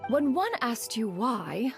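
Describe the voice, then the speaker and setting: Stately, creaky-voiced immortal crane master. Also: female voice, a little high, very animated, takes it slowly, heavily acted. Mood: wry, reminiscing. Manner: formal, archaic, stately phrasing with "one". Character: proud elder crane immortal, stern but tenderly maternal master. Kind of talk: video game voice acting. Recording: music behind the voice. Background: music.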